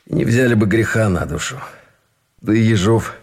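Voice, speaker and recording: An actor playing a weary, principled Russian lieutenant in captivity in a radio drama, speaking with bitter, formally polite restraint. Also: male voice, fairly low pitch, normal speed, husky. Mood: bitter regret.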